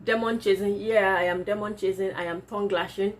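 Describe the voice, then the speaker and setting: A woman's voice: mid-range voice, fairly even intonation, brisk. A woman with a Nigerian accent, whose first language is Yoruba, chatting casually in a vlog and laughing often as she answers viewer comments. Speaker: vlogger.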